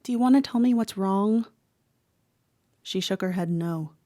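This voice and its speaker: A woman playing a troubled, protective teenage girl who narrates a horror audio drama in a measured, dramatic way. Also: mid-range voice, dark tone, natural voice. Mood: wistful, tender. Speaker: actor narrating. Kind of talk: first-person horror audio drama monologue.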